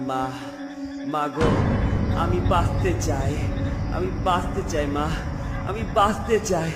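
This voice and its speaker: A man's voice: fairly high pitch, takes it slowly. A man voicing the anguished ghost of a murdered corpse in a cartoon, wailing and begging with repeated pleas. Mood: anguished pleading. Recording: heavy reverb, loud music behind.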